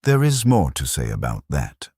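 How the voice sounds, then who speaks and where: A man reads a scripted war story as its narrator, in an exceptionally deep voice. His delivery is grave and measured, yet the pitch moves with plenty of variation. The sound is close and dry, free of room reflections. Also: wildly swooping pitch, warm tone, breathy edge, dry recording.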